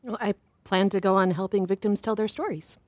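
A woman playing an earnest, reflective doctor and survivor, speaking in a measured, slightly hesitant way in an audio drama. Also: medium pitch, a little mellow, dry recording, clean studio sound, natural voice. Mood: modest, sincere. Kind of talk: audio drama interview acting.

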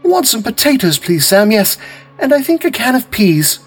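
A man voicing a young wife who talks in a forced, bright, high tone, with a husky voice. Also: big pitch swings, normal speed, soft background music, full character voice. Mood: forced cheer.

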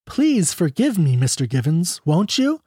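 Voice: mid-range voice, very animated, measured pace, dark tone, husky, dry recording, studio quality. A reader voicing a young ranch woman who sounds sweet, pleading and girlish.

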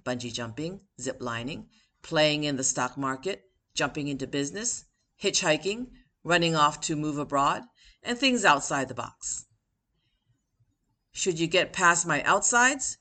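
Woman, low voice, podcast host, reading her own essay, measured and deliberate. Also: bright, forceful tone.

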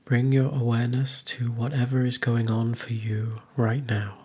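A man leading a guided meditation, speaking gently in measured phrases with long pauses. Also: mid-range voice, flat delivery, breathy edge. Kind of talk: guided meditation, soothing instruction.

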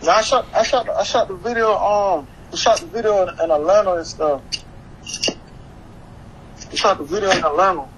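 Higher-pitched young man, slangy, noisy recording. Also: moderate pace, pauses often, a bit bright. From Haiti, moved to the US at eleven; Florida. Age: young adult. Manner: slangy, repeats phrases, often says "you know what I'm saying". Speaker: interview guest, hip-hop artist. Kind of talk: casual chat. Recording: clearly noisy background ambience.

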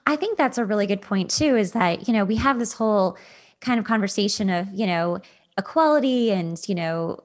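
A woman's voice: mid-range voice, lively intonation, a little fast. A woman hosting a podcast, talking casually about personal advice in a conversational way, repeating words for emphasis. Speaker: podcast host. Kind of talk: casual dating advice chat.

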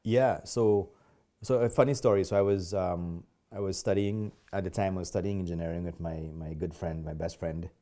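A man's voice: low-pitched, fairly even intonation, normal speed, warm tone. A man in his fifties from Trinidad, with a Trinidadian accent, chatting politely as a guest in an interview. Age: 50s. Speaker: podcast guest, martial artist. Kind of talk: casual interview chat.

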